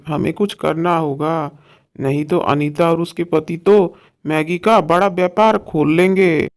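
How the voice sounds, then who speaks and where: A man voicing an envious, worried village shopkeeper in a cartoon story, complaining and urging others on. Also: fairly high pitch.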